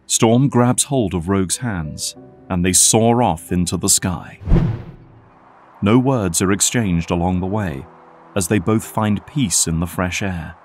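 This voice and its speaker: Casting: male narrator, low pitch, scripted drama storytelling with light music behind. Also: measured pace, a little mellow.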